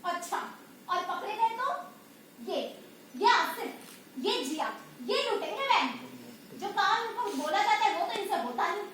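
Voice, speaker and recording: A woman playing a bossy, indignant senior circus clown in a stage play, scolding in an exasperated, emphatic way. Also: extremely high pitch. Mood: exasperated, reasoning. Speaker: stage actor. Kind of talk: amateur Hindi stage play acting. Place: indoor stage, recorded from the audience area. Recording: very echoey distant hall, clear noise.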